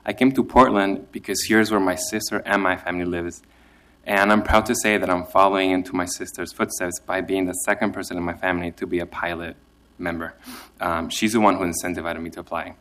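A man's voice: low-pitched, steady tone, a little fast, fairly bright tone. A young man from Chile giving nervous, careful testimony that he reads from a prepared statement. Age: young adult. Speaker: immigrant program participant giving testimony.

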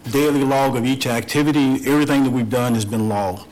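A hoarse older man, an appellant property owner, giving an earnest, measured formal statement at a public hearing. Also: medium pitch, darkish tone, poor audio.

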